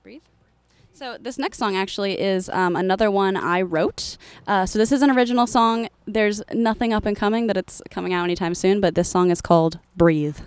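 A woman's voice: mid-range voice, a little fast, clear, resonant tone, no echo. A young adult woman, a student bandleader and singer, chatting casually in an interview with lots of fillers. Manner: chatty, filler-heavy, upbeat.

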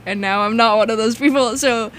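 A young woman co-hosting a casual discussion, telling stories in an animated way and peppering her talk with "like". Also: fairly high pitch, fairly quick, background noise. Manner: animated storytelling, heavy use of "like". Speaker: podcast co-host.

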